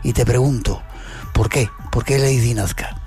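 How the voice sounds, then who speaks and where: A man's voice: medium pitch, fairly even intonation, normal speed, darkish tone, faint music behind. A man hosting a programme and interviewing a guest. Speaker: radio or TV programme host, interviewer.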